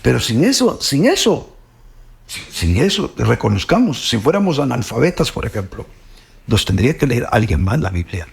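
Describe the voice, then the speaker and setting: A man's voice: mid-range voice, very animated, normal speed, vocal fry. A middle-aged man with a Guatemalan Spanish accent, speaking earnestly about faith, often repeating words and ending with "pues, ¿verdad?". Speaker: host. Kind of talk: reflective faith talk.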